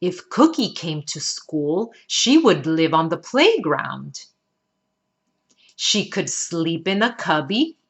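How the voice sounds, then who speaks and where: A woman voicing a kind, gentle first-grade schoolteacher in a children's storybook. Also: low voice, very animated, slow, exaggerated voice. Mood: kind, reassuring.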